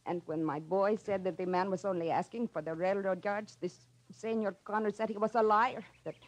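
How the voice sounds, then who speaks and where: A woman acting a courteous, earnest elderly mother with a Spanish accent, speaking formal, halting English in a radio drama. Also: medium pitch, fairly even intonation, natural voice. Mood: troubled, earnest. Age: older.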